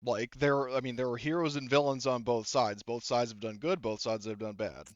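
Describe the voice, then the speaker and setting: A man's voice: medium pitch, fairly even intonation, moderate pace, dry recording, muffled recording. A man running a tabletop game as game master, narrating casually in a rambling, profane, jokey way.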